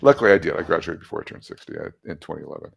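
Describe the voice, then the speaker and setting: A man's voice: very deep voice, expressive, racing, vocal fry, breathy edge. A man in his seventies, a guest casually telling stories about his life and laughing at his own jokes. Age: 70s. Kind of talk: casual storytelling interview.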